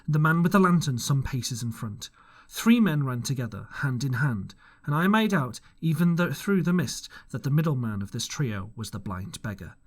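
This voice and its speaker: A man reading a classic adventure novel aloud as an audiobook, narrating expressively in first person. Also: mid-range voice. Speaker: audiobook reader. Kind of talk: storybook narration.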